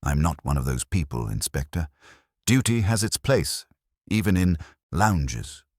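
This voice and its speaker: A genteel widow in a mystery story, as a male narrator puts her on, reserved and careful. Her speech is polite and restrained, low and husky in tone. The recording is dry. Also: big pitch swings, a little slow, no echo, exaggerated voice.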